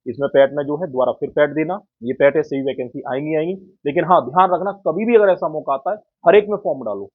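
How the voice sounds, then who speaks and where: Man, slightly high husky voice, blunt exhortative coaching talk, keeps seeking agreement, muffled lo-fi audio. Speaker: exam coaching YouTuber. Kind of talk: informal advice talk to students. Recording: muffled, tinny phone-like lo-fi audio.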